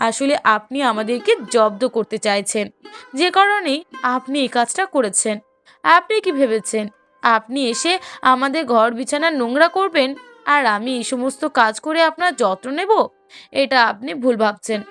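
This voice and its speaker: A woman's voice: high-pitched, fairly bright tone. A woman voicing a cruel, bossy young daughter-in-law in a cartoon story, scolding and complaining sharply. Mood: angry scolding. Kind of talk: cartoon moral story voice acting.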